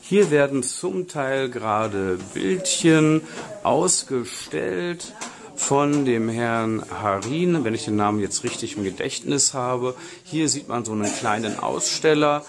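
A man's voice: mid-range voice, lively intonation, slow, vocal fry. Chatty middle-aged man vlogging at arm's length. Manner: chatty, upbeat, addresses viewers directly. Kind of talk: casual vlog commentary to camera. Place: outdoors and inside a busy café. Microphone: selfie camera at arm's length. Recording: crowd chatter behind.

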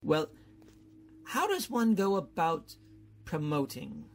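Casting: actor as genial bumbling academic, swooping intonation, polite and pompous, radio comedy. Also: male voice, fairly high pitch, sing-song, slow, gravelly, exaggerated voice. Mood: sheepish. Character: courtly, bumbling, genial middle-aged male college professor. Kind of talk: old-time radio comedy acting.

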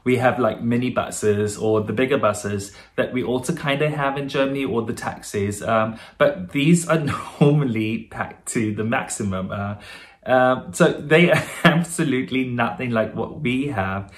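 A man's voice: mid-range voice, unhurried. A man from South Africa with a South African accent, chatting casually to his camera, with a lot of fillers and little laughs. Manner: switches between German and English. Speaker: vlogger.

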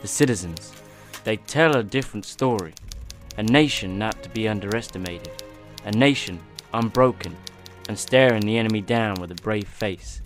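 An actor playing a polite, earnest young American newspaper correspondent in a wartime radio drama. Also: male voice, fairly low pitch, normal speed, natural voice. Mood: solemn. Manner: polite, hesitant. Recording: faint music behind the voice.

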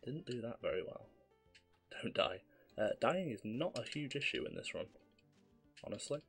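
A man, slightly hoarse with a cough, calmly explaining his speedrun as he plays. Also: medium pitch, normal speed. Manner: relaxed, explanatory, self-deprecating. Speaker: speedrunner commentating his own run. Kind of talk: live gameplay commentary. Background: faint game music.

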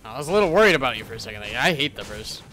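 Animated low-pitched woman gamer. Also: rapid-fire. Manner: animated, playful, encouraging. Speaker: gaming video creator. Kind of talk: casual chat during online gameplay. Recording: noisy game audio, keyboard clicks.

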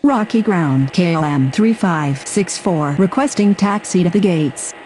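A woman's voice: on the low side, a little slow, a little mellow. A woman speaking scripted aviation radio calls. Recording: clear vehicle-like background noise.

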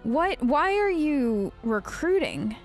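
A woman's voice: sits high, big pitch swings, slow, soft background music. A woman hosting a game let's play, improvising in character in a casual, polite and hesitant way. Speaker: gaming video host.

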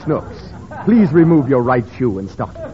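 An actor playing a self-important, formal doctor questioning patients in a 1930s radio comedy. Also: male voice, mid-range voice, big pitch swings, moderate pace, a crowd in the background, close to an everyday voice. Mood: instructing. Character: self-important, patient but easily interrupted psychoanalyst doctor. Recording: muffled, hissy 1930s radio recording.

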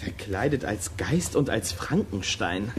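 A man's voice: fairly low pitch, very animated, a little slow, slight background noise. A male actor playing a wary, grumbling boy detective in a children's audio drama. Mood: excited.

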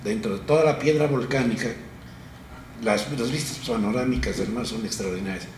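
A middle-aged man explaining things in an orderly, measured way as a talk show guest. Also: medium pitch, a little mellow. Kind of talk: studio talk show chat. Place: small TV studio, round table. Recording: roomy studio with faint noise.

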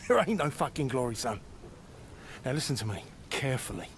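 An actor playing a gruff, middle-aged commando mentor in a war video game, foul-mouthed, sardonic and commanding. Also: male voice, medium pitch, big pitch swings, brisk, a little hiss or hum. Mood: stern, commanding. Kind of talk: cinematic war game acting.

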